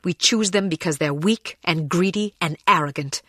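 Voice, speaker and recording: A woman's voice: on the low side, moderate pace, fairly bright tone, no echo, studio quality. A woman voicing a poised, principled young British secret agent in a video game, composed and dryly witty. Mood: indignant.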